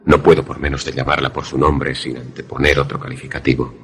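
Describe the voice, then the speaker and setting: A man plays the troubled male narrator of an eerie radio drama. His voice is extremely deep, and he tells the story gravely, in measured literary phrases. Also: level tone, slight background noise. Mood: suspenseful, grave. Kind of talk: first-person dramatic narration, suspense radio play.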